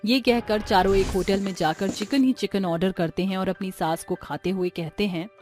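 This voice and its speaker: A woman's voice: mid-range voice, fairly even intonation, normal speed, a little mellow. Woman narrating a story over music.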